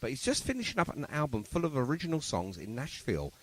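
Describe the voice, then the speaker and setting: A male radio host chatting casually with a studio guest. His delivery is enthusiastic and rambling, with a pitch that moves around in a very animated way. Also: fast talker. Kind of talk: casual radio interview and chat.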